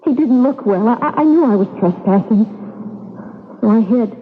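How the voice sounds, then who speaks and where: A woman's voice: on the high side, animated, normal speed, natural voice. An old, tinny radio recording with long reverb features a woman acting as an anxious heroine. She speaks earnestly and a little breathlessly, with dramatic feeling. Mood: nervous, confiding. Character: earnest, anxious young heroine, witness to murder.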